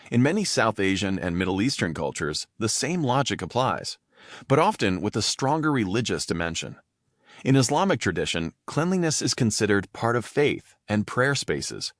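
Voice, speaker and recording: A man's voice: on the low side, no room sound, clean studio sound. A man hosting an educational podcast, narrating from a script and stopping to define vocabulary words in plain terms. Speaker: podcast host.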